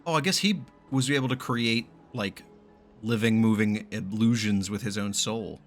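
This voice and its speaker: A man's voice: on the low side, normal speed, soft background music. A man doing casual, blunt live reaction commentary while gaming, exclaiming and swearing freely.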